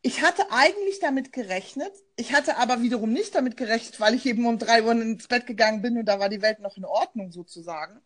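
A German woman co-hosts a casual podcast over a remote call, speaking in a slightly high voice. She talks in a thoughtful, personal way and often pauses on fillers. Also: lively intonation. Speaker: podcast co-host, journalist.

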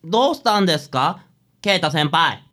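A man's voice: on the high side, expressive, normal speed, pushed and bright. A man playing a timid, polite, hapless young trainee detective in a radio drama. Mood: eager to please.

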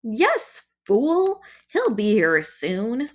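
A woman's voice: on the high side, wildly swooping pitch, extremely slow, full character voice. A woman voicing a tall, skinny rooster-footed male demon who chides and sneers at his companion. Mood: scornful. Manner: sneering, chiding.

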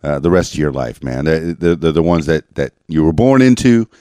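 A man's voice: a bass voice, a little fast, warm tone, no room sound. A man hosting a podcast, interviewing a caller in a laid-back, joking way with lots of fillers. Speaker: podcast host. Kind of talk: casual interview.

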